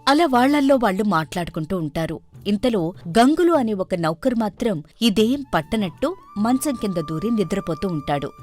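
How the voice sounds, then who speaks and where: Woman, story narrator, expressive scripted delivery, widely varied intonation, faint background music. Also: medium pitch, normal speed.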